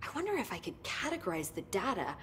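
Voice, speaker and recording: A woman's voice: mid-range voice, big pitch swings, fast, fairly bright tone, a little hiss or hum. An actress playing an eager, analytical researcher in a video game, precise, wordy and easily absorbed in her subject. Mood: absorbed, curious. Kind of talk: cinematic game dialogue.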